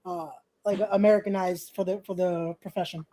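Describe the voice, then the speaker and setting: Mellow-voiced podcast host from Ecuador. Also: mid-range voice, level tone, fairly quick. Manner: enthusiastic, repeats words while searching for them. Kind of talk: casual interview chat.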